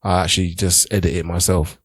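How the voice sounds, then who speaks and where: A man hosting a casual group podcast chat, posing questions in halting, hesitant phrases. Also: sits low, flat delivery, husky, no echo, studio quality. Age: adult, parent of a daughter. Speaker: podcast host.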